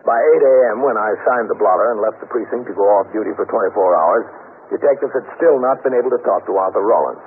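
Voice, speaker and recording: Thin old radio recording, man acting businesslike American police captain, low voice, clipped, matter-of-fact. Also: fast, narrow, tinny audio, lightly acted. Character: businesslike police precinct captain, narrating his cases. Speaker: narrator and lead actor. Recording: thin, band-limited 1950s radio recording, noisy.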